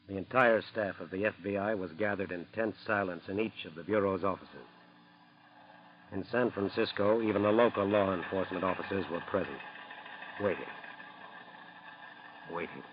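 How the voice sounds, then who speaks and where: A man with an American accent narrating a 1940s radio crime drama in a measured, emphatic, solemn style. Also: low-pitched, muffled recording, natural voice. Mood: grave, sardonic. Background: music.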